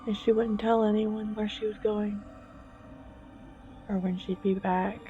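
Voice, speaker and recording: A woman narrating a scripted horror story in a slow, hushed, suspenseful manner with long deliberate pauses. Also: mid-range voice, level tone. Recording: dull lo-fi, music bed behind. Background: background music.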